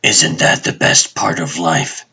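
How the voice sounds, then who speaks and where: Man playing husky, commanding secret superhero. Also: deep voice, sharp tone, heavily acted. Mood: businesslike. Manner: terse, deliberate. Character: guarded, commanding male TV producer and secret superhero. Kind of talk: comic dub voice acting.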